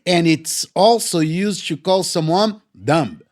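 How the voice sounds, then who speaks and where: A man's voice: high voice, slow pace, darkish tone. A man whose first language is Portuguese, co-hosting a podcast in a playful, casual way and often affirming his partner. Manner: playful, punny, eager affirmations. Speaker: podcast co-host. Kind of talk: casual chat.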